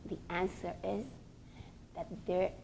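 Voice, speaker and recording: A woman teaching a religious class in a deliberate, emphatic way, pausing between short phrases and posing rhetorical questions. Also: lowish voice, level tone, gravelly, warm tone, low-quality recording.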